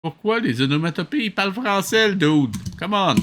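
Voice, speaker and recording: A man's voice: high-pitched, animated, normal speed, fairly bright tone. A man with a Québécois French accent giving casual, sarcastic, exasperated commentary as host of a reaction show.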